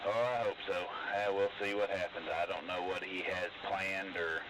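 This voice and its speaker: A man's voice: deep voice, fairly even intonation, normal speed. A middle-aged man chatting in a folksy, colloquial way over CB radio. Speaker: CB radio operator. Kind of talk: casual chat over CB radio. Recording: very noisy, hissy CB radio transmission.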